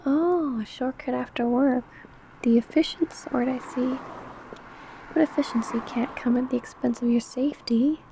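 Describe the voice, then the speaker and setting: A woman playing an obsessive, predatory female vampire who poses as a sweet coworker, in a soft, breathy ASMR roleplay. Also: high-pitched, fairly even intonation, normal speed, a little hiss or hum, poor audio. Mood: gently probing. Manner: soft, breathy, whispery murmuring.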